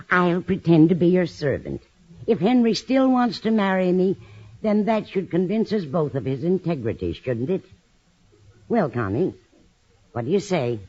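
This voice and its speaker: A woman's voice: on the low side, warm tone. An actress playing a kindly, fussing, motherly older landlady in a 1950s radio sitcom. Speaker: supporting actress. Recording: dull lo-fi 1950s radio recording.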